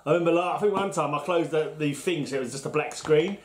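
A man's voice: on the high side, fast talker, crowd noise. A middle-aged man chatting casually and swearing freely while tasting beer at home with a friend. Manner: laid-back, swearing, laughing often. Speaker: beer tasting show co-host. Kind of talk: casual chat. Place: home kitchen, indoors. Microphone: fixed camera across the table. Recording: echoey kitchen with background noise.

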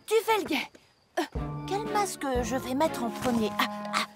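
Brief: a cheeky plotting kid, high and bouncy, over a cartoon score. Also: female voice, very animated, moderate pace, over loud music. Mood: scheming. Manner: sly, boastful. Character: mischievous scheming young boy.

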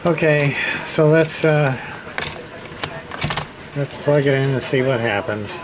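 A man giving a casual hands-on repair demonstration, thinking aloud in a hesitant, halting way as he goes. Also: mid-range voice. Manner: hesitant, halting, muttering to himself. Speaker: hobbyist radio repair presenter. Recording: clear background room noise.

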